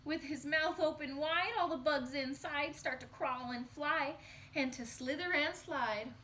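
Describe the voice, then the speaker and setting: A woman reading a picture book aloud to young children in an expressive, sing-song storytelling manner. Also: high voice, measured pace, a little hiss or hum.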